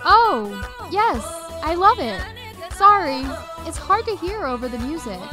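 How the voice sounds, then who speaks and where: A woman playing a cheerful, adventurous young American in a scripted radio drama, speaking clearly and brightly with an American accent. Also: extremely high pitch, very animated, a little slow, over music, full character voice. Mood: pleased. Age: young adult.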